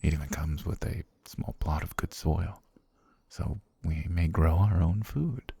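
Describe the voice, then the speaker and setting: A man voicing an obsessive, soft-spoken butler who has kidnapped his lady, talking in a hushed, half-whispered, gently menacing way for an ASMR roleplay. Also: rumbling low, creaky, breathy edge, no echo, exaggerated voice. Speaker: voice actor.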